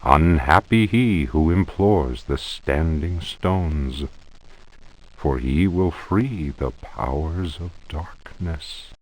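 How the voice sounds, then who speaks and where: A man's voice: rumbling low, slow pace, full character voice, poor audio. A man playing a grave narrator reading an ominous occult manuscript aloud in a solemn, theatrical game performance. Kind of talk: dramatic scripted reading of arcane text.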